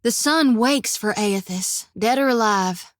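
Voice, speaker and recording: This is a plucky, warm-hearted young priestess, as played by a woman, speaking in a plainspoken rural drawl. Her voice sits a little higher than most women's. The sound is close and dry, with no room around it. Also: measured pace, no echo, natural voice. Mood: wry. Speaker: companion character. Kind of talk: video game voice acting. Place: recording studio.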